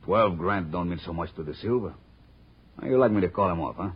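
Low, gravel-voiced radio gangster. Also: male voice, brisk. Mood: smug. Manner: broken tough-guy grammar, oily menace. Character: fat, menacing foreign-sounding gangster loan shark. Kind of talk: 1940s radio crime acting. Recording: muffled old-time radio recording.

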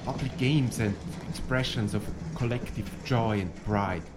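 A man's voice: lowish voice, unhurried, heavy background noise. A man co-hosting with serious, prepared explanatory narration.

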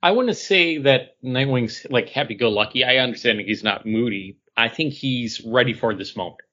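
Male podcast co-host, bright forceful voice, casual comics chat, slightly lo-fi sound. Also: mid-range voice, moderate pace. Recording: dull lo-fi sound.

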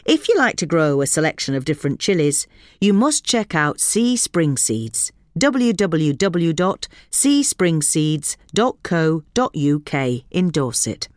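Lively, low-pitched woman narrator. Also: unhurried, no room sound, studio quality. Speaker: narrator reading a gardening article. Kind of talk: scripted magazine article narration.

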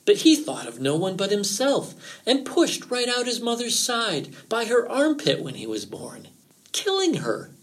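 Sly folktale fox, played by a man: raised, very animated pitch, feigned concern, drawn-out pleading. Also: heavily acted, rough recording. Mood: urgent, grave. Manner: theatrical, drawn-out pleading and warnings. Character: sly male fox feigning worry, mock-pleading, warning.

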